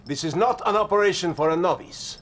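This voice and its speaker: An actor playing a stern, sardonic Soviet army general with a Russian accent in a video game cutscene. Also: male voice, sits high, brisk, a bit bright, a little hiss or hum. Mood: dismissive. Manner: sardonic, blustering, disdainful.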